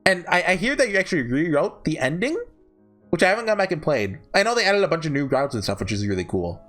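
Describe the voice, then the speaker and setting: A man's voice: on the high side, sing-song, fast talker, fairly bright tone. A man giving casual, off-the-cuff ranking commentary, rambling and often backtracking mid-sentence, with emphatic, enthusiastic fan opinions. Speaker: host ranking visual novels. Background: background music.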